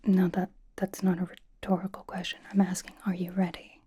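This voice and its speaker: A woman playing a cocky young female pop idol in an ASMR roleplay, whispering breathily with halting, teasing, dramatic emphasis. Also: on the low side, completely flat delivery, warm tone, slightly rough. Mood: frank, teasing.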